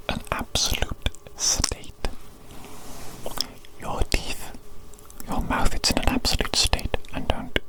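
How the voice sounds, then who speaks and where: A woman performing a whispered ASMR roleplay as an evil doctor who dotes on and scolds a monster creation, coaxing and repeating phrases. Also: poor audio. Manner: slow, repetitive, coaxing delivery.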